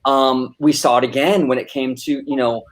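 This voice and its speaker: A man's voice: medium pitch, steady tone, normal speed. Emphatic male host in casual discussion. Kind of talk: casual commentary.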